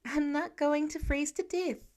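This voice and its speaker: A woman's voice: sits high, fairly even intonation, a little slow, darkish tone, pitched above the performer's own voice. A woman voicing a stubborn fifteen-year-old boy, grumbling and defiant, in an audiobook story. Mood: dismissive.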